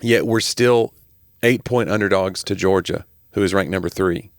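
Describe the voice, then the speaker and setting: A man's voice: low voice, moderate pace, vocal fry, dry recording. An American man in his forties, a podcast co-host, chatting casually and wryly, close on the microphone. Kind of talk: casual chat. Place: small home room, indoors. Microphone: close podcast microphone on boom arm.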